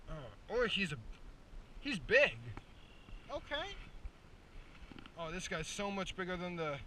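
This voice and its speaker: A young man vlogging his fishing trip at a pond, chatting casually and joking, with loud excited cheers when he hooks a fish. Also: high voice, slow. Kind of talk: casual outdoor fishing commentary. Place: outdoors at a pond. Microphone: head-mounted action camera. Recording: distant mic with outdoor noise.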